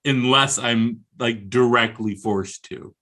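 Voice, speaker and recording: Male, American, bright-toned voice. Guest author on a video call, dry humor, comic exaggeration at his own expense. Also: medium pitch, takes it slowly. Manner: wry, comically exaggerating, self-deprecating. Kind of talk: casual video interview.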